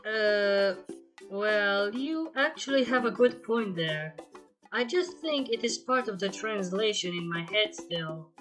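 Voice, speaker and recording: A reader acts out a young unicorn colt from a cartoon fan story, thoughtful and modest. The wording is careful and formal, at a middle pitch, with music plainly behind the voice. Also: expressive, slow, fairly bright tone.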